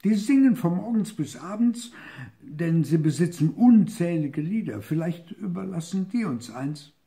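Older man as wise old owl, raised pitch. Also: lively intonation, a little slow, creaky, mellow. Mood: pensive, hopeful. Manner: earnest, resigned. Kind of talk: storybook read-aloud.

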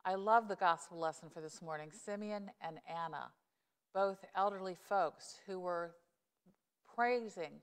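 A woman's voice: on the low side, smooth. A middle-aged woman pastor gives an earnest, conversational sermon, with emphatic rises, on a lapel mic in a church sanctuary. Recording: long church reverb.

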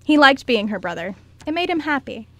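A woman's voice: high voice, big pitch swings, a little fast. A woman reading scripted story narration as the narrator of an amateur radio drama.

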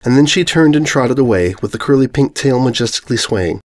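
A man's voice: medium pitch, lively intonation, brisk, darkish tone. A man reading scripted comic fiction as narrator.

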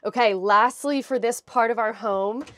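A woman's voice: a little high, moderate pace, a bit bright, no room sound. Young American woman, dry close sound. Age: young adult. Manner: chatty, explanatory. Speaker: vlogger giving a camper van tour. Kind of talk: casual walkthrough presentation. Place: inside a small camper van. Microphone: clip-on lapel mic.

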